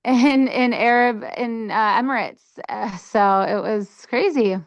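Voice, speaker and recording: A young woman from the United States talks casually in an interview. Her voice is smooth and clear, and her chat is eager and full of little fillers. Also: on the high side, slow pace, highs cut off. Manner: enthusiastic, chatty with many fillers. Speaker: interview guest.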